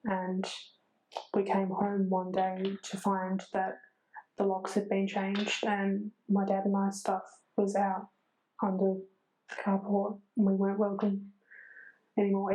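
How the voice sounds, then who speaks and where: Flat-voiced young Australian woman. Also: medium pitch, a little slow, poor audio. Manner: hesitant, with frequent fillers. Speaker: speaker in a community film. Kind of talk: candid personal recollection.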